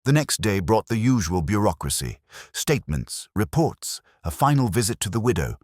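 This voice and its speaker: A man playing a weary, melancholy older police detective, narrating a scripted crime story in a measured, solemn, literary manner. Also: on the low side, sing-song, no echo, studio quality. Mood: somber, quiet.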